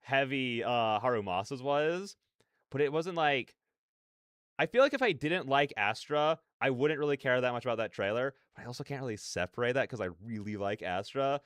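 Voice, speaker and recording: A man's voice: medium pitch, expressive, normal speed, a bit bright, clear, resonant tone, no room sound, studio quality. A man hosting a reaction video, giving casual, rambling commentary as he thinks aloud. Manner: rambling, peppered with "like" and "you know".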